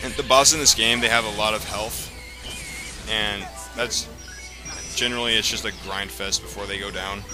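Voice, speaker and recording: A man's voice: fairly low pitch, steady tone, moderate pace. A man giving casual, rambling, explanatory commentary as host of a video game playthrough. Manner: rambling, explanatory, frequent profanity. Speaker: let's play host. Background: video game music and sound.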